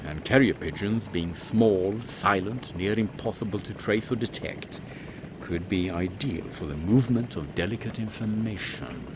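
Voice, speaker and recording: A man playing a courteous, dutiful airship captain in an audio drama, speaking with formal military politeness in a husky voice. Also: on the low side, moderate pace, background noise, lightly acted. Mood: courteous, brisk.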